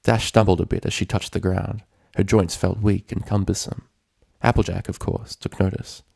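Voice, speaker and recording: A man with a deep, creaky voice narrates a story from a script. The recording is close and dry, with very little room sound. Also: steady tone, normal speed, darkish tone, no echo. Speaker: fan fiction reader.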